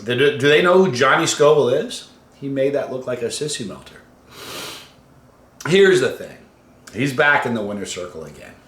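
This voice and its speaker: A man casually hosting a livestream and reading out viewer comments, halting and conversational, trailing off quietly at the ends of phrases. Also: medium pitch, lively intonation. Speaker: host of a hot sauce challenge channel. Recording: roomy, echoey room sound.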